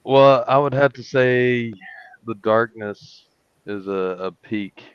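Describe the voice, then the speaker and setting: A man's voice: medium pitch, takes it slowly, clean tone, no echo. A man on a podcast panel, good-natured and hesitant, often repeating himself. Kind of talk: casual podcast chat. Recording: dull lo-fi mic audio.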